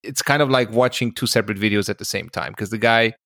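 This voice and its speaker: Male podcast co-host, lowish pitch, very dry sound, casual conversational storytelling. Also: quick pace, a bit bright, no echo.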